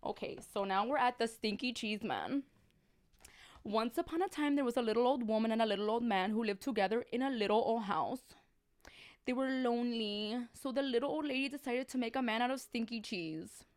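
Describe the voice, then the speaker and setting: High-voiced woman reading tales comically. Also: brisk. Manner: casual, sarcastic commentary with slangy Spanish interjections. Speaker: storyteller on a livestream. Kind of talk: comic read-aloud of children's tales with chatty asides.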